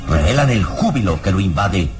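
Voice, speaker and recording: A man's voice: deep voice, very animated, normal speed, a little mellow, soft background music. A man narrating a radio serial in a solemn, florid, dramatic storytelling style.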